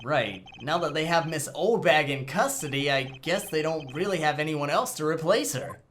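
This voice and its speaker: A woman's voice: sits low, lively intonation, moderate pace, a little hiss or hum. A woman voicing a cocky, casual young male defense attorney in a game fandub, sarcastic and glib. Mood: wry. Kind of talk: video game fandub acting. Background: background music.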